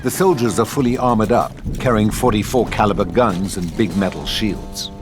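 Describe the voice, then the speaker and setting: A man's voice: fairly low pitch, moderate pace. A man reading stage directions as the narrator of a script, in a scripted narration style. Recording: music behind the narration.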